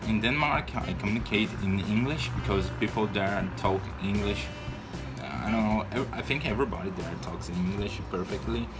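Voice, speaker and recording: A young Brazilian man in his twenties talks in English, his second language, with a Brazilian Portuguese accent and a slightly low voice. He speaks haltingly and shyly, restarting words as he goes. Clear music plays behind him. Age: 20s. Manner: hesitant, frequent restarts and fillers, shy. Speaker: vlogger. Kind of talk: casual personal vlog.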